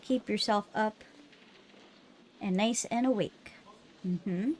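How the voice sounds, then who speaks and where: A woman's voice: medium pitch, slow, a little mellow, a little hiss or hum. A woman hosting a livestream, chatting casually between parts of a game readthrough. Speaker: livestream host. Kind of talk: casual stream chat between readthrough lines.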